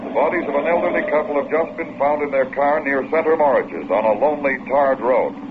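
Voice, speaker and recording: A man's voice: on the high side, a little fast, close to an everyday voice. Muffled old actor-played radio newsman, formal. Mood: grave, urgent. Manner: formal, emphatic. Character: grave radio news announcer reading an urgent police warning. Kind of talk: old-time radio bulletin, scripted reading. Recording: muffled, very noisy old radio recording, humming.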